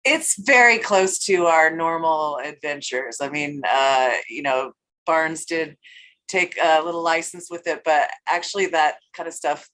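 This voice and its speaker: Female interview guest, deep voice, casual, dryly humorous delivery. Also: a little slow, fairly bright tone. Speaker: interview guest, band member. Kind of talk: casual chat.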